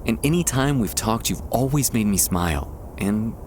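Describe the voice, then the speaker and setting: A man playing a shy, tender young man confessing love to a childhood friend, hesitant and soft-hearted, in an intimate ASMR roleplay. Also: fairly low pitch, animated, a little hiss or hum, natural voice. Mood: fond, nostalgic. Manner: soft-hearted, hesitant, halting with nervous chuckles. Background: quiet park ambience.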